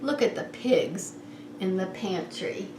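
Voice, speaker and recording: A woman's voice: mid-range voice, animated, takes it slowly. A woman preschool teacher hosting story time, talking straight to young children with simple questions in a playful, singsong way. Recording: roomy echo, clear background noise.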